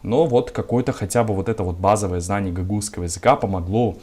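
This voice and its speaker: A young man from Gagauzia, a civic activist, answering interview questions in a measured, argumentative way with lots of filler words. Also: fairly low pitch, darkish tone, husky. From Gagauzia, Moldova.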